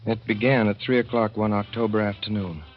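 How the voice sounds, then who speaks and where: A naive young man in a 1930s radio play tells his own story in a folksy, plain-spoken way, as a man voices him. His voice is deep, and the broadcast sound is muffled and dull. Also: fairly even intonation, a little fast, faint music behind, natural voice.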